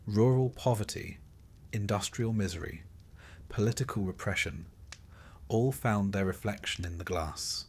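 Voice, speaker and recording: A man narrating a scripted history sleep story in a measured, literary way with dry irony. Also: lowish voice, a little mellow, slightly rough.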